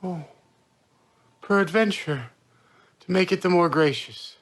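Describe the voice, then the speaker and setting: A man acting a bewildered, vain simple craftsman in a Shakespearean stage monologue, halting in broken phrases of wonder. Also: sits high. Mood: grand, delighted.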